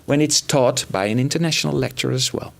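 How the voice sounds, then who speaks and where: A man, a program manager, answering panel questions in a measured, hesitant way with many fillers. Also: medium pitch, vocal fry. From Netherlands.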